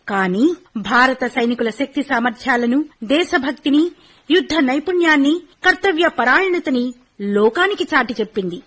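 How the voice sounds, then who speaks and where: An actress in a radio play voicing a young university student, the daughter of a fallen soldier, speaking in an earnest, declamatory way. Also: female voice, high voice, fairly bright tone, husky, exaggerated voice.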